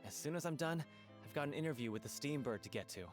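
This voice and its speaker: A man's voice: a little high, expressive, quick pace, soft background music, close to an everyday voice. A man playing a polite, grateful theatre director in a video game, speaking in a courteous and sincere way.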